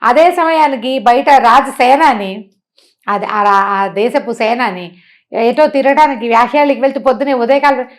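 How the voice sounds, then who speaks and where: A woman telling a moral tale in a conversational, animated way, putting questions to the listener and acting out dialogue. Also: a little high, moderate pace. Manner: conversational, uses rhetorical questions and acts out dialogue. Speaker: storyteller and motivational speaker.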